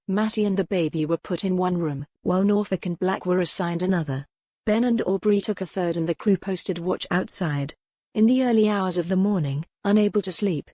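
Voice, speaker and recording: A woman's voice: on the low side, mellow, dry recording, clean studio sound. A woman narrating a historical romance audiobook in a scripted, dramatic storytelling style.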